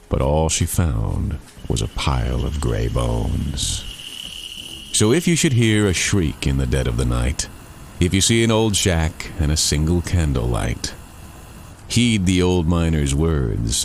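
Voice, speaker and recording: A man narrating a scary rhymed campfire poem in a suspenseful, measured, sing-song cadence. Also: very deep voice, a little hiss or hum. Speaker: poet and narrator. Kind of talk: dramatic rhymed scary storytelling.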